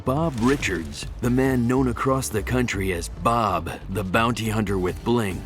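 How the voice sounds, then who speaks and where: A man's voice: lowish voice, a little slow, faint music behind. A man reading scripted story narration as the narrator of a video game.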